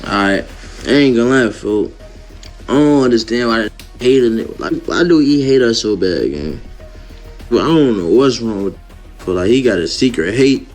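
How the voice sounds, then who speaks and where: A man's voice: mid-range voice, expressive, normal speed, darkish tone, rough recording. A man rants in a baffled, exasperated way. His voice sits in a middle register. Faint music plays underneath. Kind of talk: casual rant.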